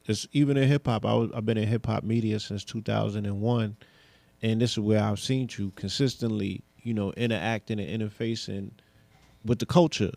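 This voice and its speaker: A man's voice: lowish voice, gravelly, warm tone, dry recording. A man hosting a podcast, interviewing a guest in a casual way, with many "you know" fillers and affirming replies. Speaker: podcast host.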